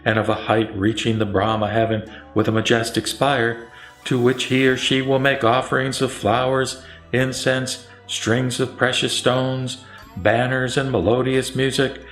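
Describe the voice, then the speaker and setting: A man reciting the Buddha's part in a scripted sutra audio drama, as a serene, authoritative teacher with solemn, deliberate phrasing. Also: medium pitch, flat delivery, a bit bright, close to an everyday voice. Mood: serene, instructive. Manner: solemn, didactic, deliberate listing. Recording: roomy echo, music bed underneath.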